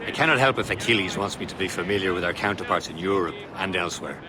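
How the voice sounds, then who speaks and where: A man acting as a gruff but loyal Assassin in a video game. The lowish voice is dry and businesslike, set against clearly audible outdoor ambience. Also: fast talker, natural voice. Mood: dry teasing. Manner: dry, matter-of-fact. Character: steady, loyal male Assassin, gruffly teasing friend. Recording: noisy outdoor ambience behind.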